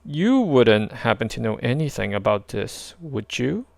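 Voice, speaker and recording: A man's voice: mid-range voice, sing-song, unhurried, gravelly, dry recording. A man voicing a suspicious, sarcastic space adventurer who leads his crew in a children's story. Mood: accusing.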